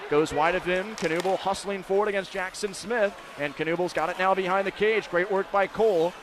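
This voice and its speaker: A man doing live radio play-by-play commentary of a hockey game, describing the action in crisp detail. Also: high voice, fairly even intonation, moderate pace. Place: indoor ice hockey arena. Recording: noisy live arena broadcast. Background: loud arena noise.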